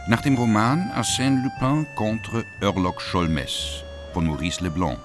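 A male announcer reading the title and credits in a slow, solemn style. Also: on the low side, music in the background.

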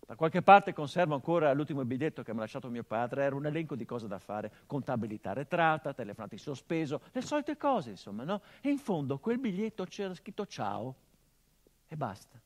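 Radio-drama monologue: a grieving son, Italian accent, middle pitch, brooding and low, with impassioned surges. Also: male voice, big pitch swings, brisk. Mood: bitter. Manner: intense, builds to impassioned outbursts then drops low. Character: grieving grown son of a murdered man.

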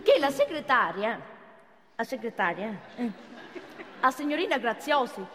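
A simple, earnest cleaning woman. She rambles colloquially in a high, swooping voice, in the manner of comic theatre. The recording has hall reverb and an audience behind her. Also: very animated, measured pace, sharp tone, heavily acted. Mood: naive, animated. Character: simple, chatty cleaning woman recounting gory mishaps. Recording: echoey theatre, audience and background noise.

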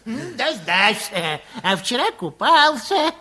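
A woman's voice: high voice, big pitch swings, slow, pushed and bright, slightly rough, heavily acted. A woman playing a cheeky, gleeful, taunting fairytale hero in a 1960s Soviet radio play, with teasing, emphatic delivery.

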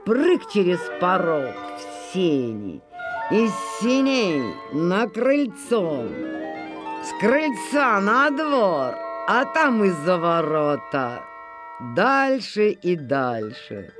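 A storyteller reading a folk tale for children in an unhurried, expressive way. The voice rises and falls a great deal. Music plays clearly underneath. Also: mid-range voice. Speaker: narrator. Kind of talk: storybook narration. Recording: music playing behind.

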